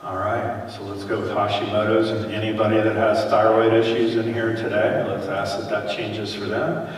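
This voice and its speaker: Lower-voiced man coaxing in an echoey hall. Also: flat delivery, normal speed, a crowd in the background. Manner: casual, coaxing, chant-like repeated phrases. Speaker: energy healer presenting live. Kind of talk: live demonstration talk. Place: event hall with audience. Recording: echoey hall from far off, faint noise.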